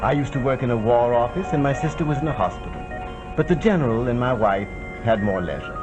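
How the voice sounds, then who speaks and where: Male actor as melancholy nobleman, courtly, hesitant, condescending, 1949 TV drama, clear musical backing. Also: medium pitch, expressive, fast, natural voice. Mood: solemn recounting. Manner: formal, hesitant, condescendingly polite. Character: courtly, melancholy old-world aristocrat telling of past wrongs. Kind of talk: 1940s television drama acting. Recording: old 1949 broadcast with music behind.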